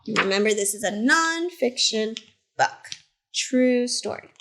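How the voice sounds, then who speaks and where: A woman reading a picture book aloud to children as a teacher librarian, in simple, deliberate phrases with short asides. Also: fairly high pitch, lively intonation, fairly bright tone, slight background noise. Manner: simple, deliberate phrasing addressed to young listeners.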